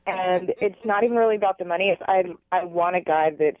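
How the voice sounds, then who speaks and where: A woman's voice: lowish voice, fast talker. A woman phoning in to a radio show, casually explaining her personal story over a telephone line with some hedging. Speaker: phone-in guest on a radio show.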